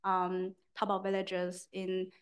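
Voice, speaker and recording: A woman's voice: mid-range voice, monotone, slow pace, clean tone. A woman giving conversational academic answers as a speaker, often checking in with short tag questions. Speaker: keynote speaker, communication professor. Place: university lecture room.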